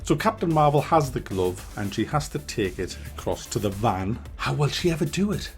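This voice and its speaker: A man giving a ranting movie review, animated, sarcastic and full of swearing. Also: mid-range voice, normal speed, faint music behind.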